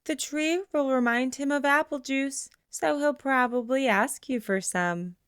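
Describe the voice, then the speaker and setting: A woman reads a children's picture book aloud with a fairly high, bright voice. Her delivery is expressive, and she slows down for the closing lines. The sound is dry and close, with no room echo. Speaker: storybook reader. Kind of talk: children's read-aloud narration.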